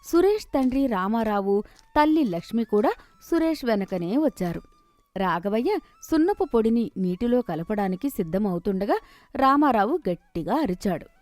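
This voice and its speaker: A woman narrating a cartoon moral story with steady, clear storytelling. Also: medium pitch, moderate pace, no room sound.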